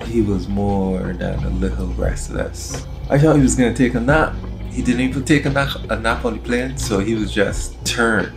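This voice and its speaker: A man's voice: lowish voice, normal speed, gravelly. A man, casually talking to camera in a travel vlog with an easygoing, go-with-the-flow manner. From Barbados. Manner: laid-back, easygoing. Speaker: vlogger. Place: hotel room. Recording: background music under voice.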